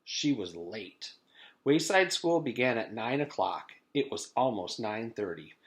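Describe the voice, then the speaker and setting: A man's voice: fairly low pitch, very animated, measured pace. A man reading a children's storybook aloud as a teacher would, with informal introductory remarks.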